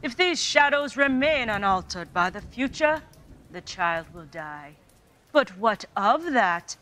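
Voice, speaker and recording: Woman as a stern, slow-spoken ghost, bright-edged voice. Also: medium pitch, big pitch swings, heavily acted. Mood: grave, reproachful. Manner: slow, grave pronouncements. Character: solemn ghost of Christmas, stern, ominous and reproachful. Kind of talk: radio play acting of a Victorian story.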